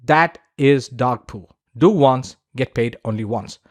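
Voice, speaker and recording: A man's voice: medium pitch, slow pace, dry recording. A man delivering scripted instructional narration as a podcaster and teacher, in an emphatic, persuasive manner.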